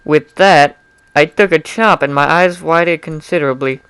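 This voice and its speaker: A man's voice: fairly high pitch, normal speed, fairly bright tone, smooth, no echo. A young man giving an amateur dramatic reading of fan fiction, informal and often breaking off into chatty, apologetic asides. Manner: informal, self-interrupting, apologetic.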